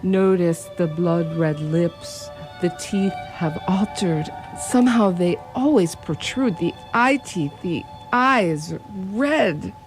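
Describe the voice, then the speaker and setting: Woman acting as older male professor, slow, lowish voice, commanding, formal inverted phrasing, radio drama, music behind. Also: lively intonation, low-quality recording. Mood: solemn, urgent. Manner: formal, deliberate, inverted foreign-style phrasing.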